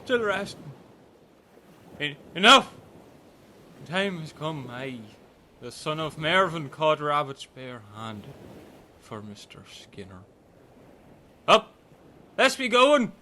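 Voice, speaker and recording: A man's voice: fairly high pitch, very animated, slow pace, pauses often, sharp tone, exaggerated voice. A man playing an agitated, whiny man who exclaims and declaims in a comic audio story. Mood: declamatory, agitated.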